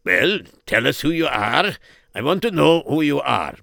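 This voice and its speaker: A man's voice: on the high side, very animated, unhurried, vocal fry, bright, forceful tone, no echo, studio quality, exaggerated voice. A man doing a pompous, rambling king. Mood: kindly. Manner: stately, hesitant, rambling. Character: pompous middle-aged male king.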